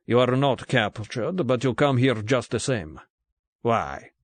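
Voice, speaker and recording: A man voicing a tired, frightened middle-aged Russian agent who speaks formal, halting English with a thick Russian accent. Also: mid-range voice, expressive, dry recording. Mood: tense, formal.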